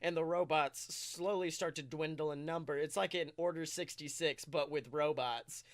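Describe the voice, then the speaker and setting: A man co-hosting a podcast, chatting casually in an excitable, jokey storytelling manner. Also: a little high, normal speed. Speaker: podcast co-host. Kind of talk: animated casual podcast chat.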